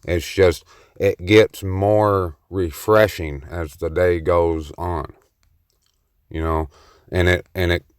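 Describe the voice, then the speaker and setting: A man's voice: low voice, fairly even intonation, slow, smooth, no room sound, studio quality. A man speaking alone on the mic in a rambling monologue, boastful and playfully cocky, with a meandering delivery. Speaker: solo vlogger on the mic. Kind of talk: rambling self-recorded monologue.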